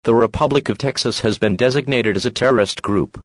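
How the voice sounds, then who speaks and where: A man delivers scripted emergency announcements in a medium-pitched voice. His manner is formal and impersonal, like an official notice being read out. The sound is very dry. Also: a little fast, dry recording.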